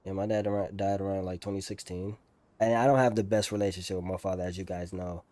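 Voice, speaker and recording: A young man talking casually over gameplay as a streamer, candid and slangy, in a halting way. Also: low voice, fairly even intonation, dark tone, no room sound. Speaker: gaming streamer.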